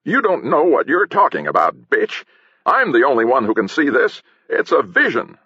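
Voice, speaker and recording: A man's voice: a little high, huge pitch swings, slow pace, a bit bright, breathy edge, no room sound, highs cut off, pitched above the performer's own voice, full character voice. A man voicing an old potion seller on stage, a bombastic and scornful trickster with declamatory, exaggerated delivery. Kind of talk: theatrical audiobook character acting.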